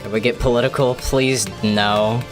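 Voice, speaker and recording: A man's voice: medium pitch, steady tone, moderate pace. A man live-streaming a game, talking casually and loosely to his viewers. He rambles from one thought to the next, answers chat, and swears now and then. Faint game music plays underneath. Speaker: gaming streamer. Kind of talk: casual live-stream commentary while playing.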